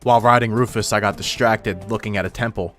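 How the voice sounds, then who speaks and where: A man's voice: on the low side, steady tone, fast talker, fairly bright tone. Casual male gaming narrator, filtered voice. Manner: informal, wry joking asides. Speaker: gaming video host. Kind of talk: casual scripted gameplay narration. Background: background music.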